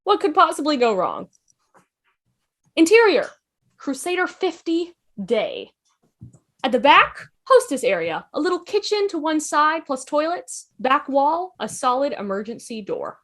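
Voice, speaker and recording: A woman's voice: high voice, sing-song, a little slow. A woman narrating the stage directions of a script in a fan table read.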